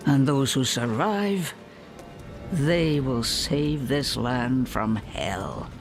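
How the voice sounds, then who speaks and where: An actor playing a solemn, ruthless druid fanatic in a video game, theatrical and often sinking into whispers. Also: male voice, medium pitch, animated. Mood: calm, fanatical. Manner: slow, theatrical, breaking into whispers. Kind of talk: dramatic video game acting. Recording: music behind the voice.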